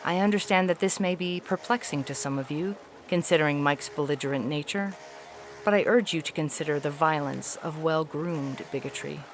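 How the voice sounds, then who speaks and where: A woman voicing a haughty, weary feline alien ambassador in a science fiction audio story, speaking in a formal, measured, articulate way. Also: sits low, a little hiss or hum. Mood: grave disappointment. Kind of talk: dramatic reading of an epistolary science fiction story.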